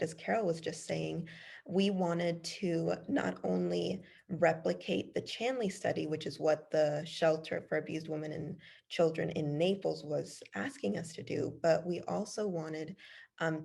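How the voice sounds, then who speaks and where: A young woman from El Salvador walks through research in an online webinar, speaking earnestly with frequent "um" pauses. Her voice sits low, with heavy gravel and a husky, breathy edge. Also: darkish tone. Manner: earnest, many "um" fillers. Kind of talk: webinar presentation.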